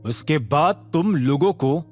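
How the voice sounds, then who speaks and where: Man acting a menacing, animated secret gang boss. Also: fairly high pitch, slow. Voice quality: heavy voice. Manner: taunting, interrogating, self-assured. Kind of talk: audio drama character acting. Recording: music behind.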